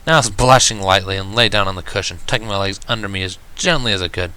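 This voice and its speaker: A man giving an expressive amateur narration of a fan fiction story. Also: low voice, fast talker, clear, resonant tone.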